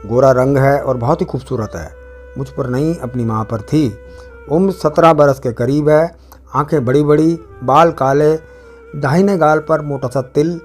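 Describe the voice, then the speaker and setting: A man's voice: fairly high pitch, measured pace, faint music behind, natural voice. A man voicing a weary old father who pleads earnestly for his lost daughter in a story reading. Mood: pleading, anxious.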